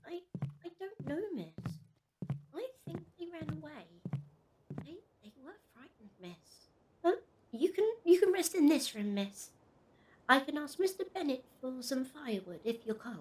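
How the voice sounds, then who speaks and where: A woman playing a timid, deferential young village servant girl in a period audio drama, shy, halting and polite. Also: high-pitched, lively intonation, slight background noise. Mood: nervous, helpful.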